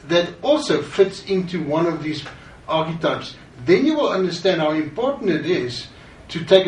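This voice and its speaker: A middle-aged man from Africa, co-presenting a course in a conversational way. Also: on the high side. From South Africa. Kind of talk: course introduction. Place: studio with green-screen backdrop. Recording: roomy, distant, faint hiss.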